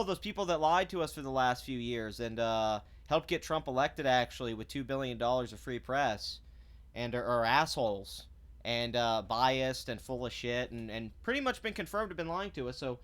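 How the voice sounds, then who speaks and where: A man's voice: mid-range voice, big pitch swings, normal speed. A man delivering a sarcastic, blunt commentary rant, swearing freely and stumbling over restarts when he gets heated. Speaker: commentary vlogger. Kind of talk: ranting commentary.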